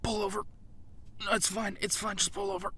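A man playing a friendly, self-effacing werewolf in human form in an intimate roleplay audio drama, speaking in a halting, conversational way. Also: high-pitched, flat delivery, sharp tone, husky, whispered, heavily acted. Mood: panicked, urgent.

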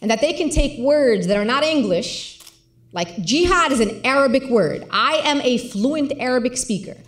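A woman's voice: high voice, animated, moderate pace. A woman speaking on stage with a handheld mic in a lecture hall, impassioned and emphatic. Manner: impassioned, emphatic, gestures a lot. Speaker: guest speaker, organizer. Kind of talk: public conversation on stage. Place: large lecture hall with audience. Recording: roomy hall sound.

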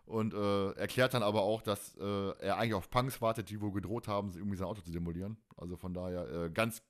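A man's voice: fairly low pitch, fairly even intonation, fast talker. A man co-hosting a podcast, recapping a story in casual, rambling colloquial talk that often doubles back on its phrases. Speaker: podcast co-host. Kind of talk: casual podcast chat, recapping an audio drama.

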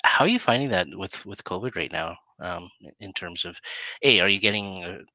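A middle-aged man, hosting a casual interview and feeling his way haltingly into his questions. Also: low-pitched, lively intonation, bright, forceful tone, dry recording. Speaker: interview host. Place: plain room indoors. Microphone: headset on a video call.

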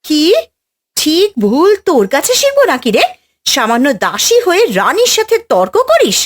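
A woman's voice: sits high, huge pitch swings, normal speed. A woman voicing a haughty, scheming wicked queen in a cartoon fairy tale, imperious and theatrical. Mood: indignant, scolding.

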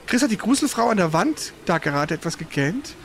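A man with a high voice gives casual gameplay commentary. He thinks aloud informally, as if to friends, over faint background noise. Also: very animated, a little fast, creaky. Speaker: video game streamer.

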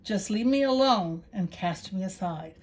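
A woman's voice: medium pitch, animated, measured pace, a little mellow. A woman voicing a timid, frightened little donkey. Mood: pleading. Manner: pleading, frightened, self-pitying. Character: small timid weak male donkey. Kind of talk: storybook reading.

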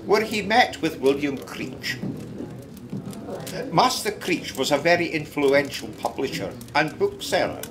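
A man's voice: fairly high pitch, expressive, measured pace, music in the background. An actor playing a droll, gossipy Scottish man who tells a tale in a chatty, theatrical way for a radio drama. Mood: gossipy, admiring. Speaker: narrator.

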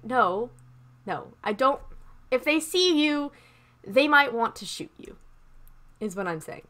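A woman plays a patient medic in a zombie survival game. Her voice sits a little high. She talks in a halting, conversational way, placating and exasperated by turns. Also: lively intonation, fairly bright tone, heavily acted. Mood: flustered reassuring. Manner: hesitant, sighing, placating. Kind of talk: improvised Minecraft zombie roleplay, conversational.

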